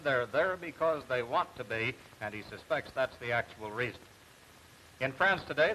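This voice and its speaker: An American man anchoring a television news report, reading scripted news in a formal, measured 1950s announcer style. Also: on the high side, pushed and bright. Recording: noisy 1950s television broadcast recording.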